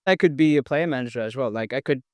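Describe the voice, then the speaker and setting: A young man in his twenties from India, a podcast guest talking casually with many pauses, fillers and restarts. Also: medium pitch, darkish tone, dry recording. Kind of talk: casual interview, sharing personal story.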